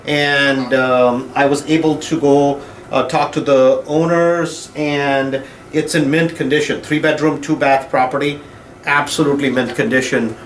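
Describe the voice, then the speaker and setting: A man on a phone call in a small office, talking slowly with many fillers and drawn-out words. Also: medium pitch, steady tone. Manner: drawn-out, filler-heavy talk. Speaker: real estate investor bidding at auction. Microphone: phone held at mouth, speakerphone. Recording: roomy office with faint hum.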